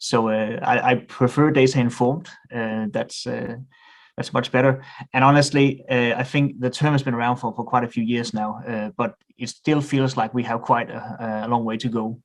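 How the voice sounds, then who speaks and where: A man's voice: mid-range voice, fairly even intonation, moderate pace. A middle-aged man from Denmark with a Danish accent, a guest on a podcast, speaking thoughtfully with frequent hesitations and restarts. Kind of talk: podcast interview.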